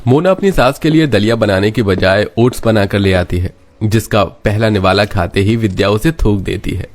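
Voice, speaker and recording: A man's voice: fairly low pitch, moderate pace. A man reading scripted narration as the storyteller.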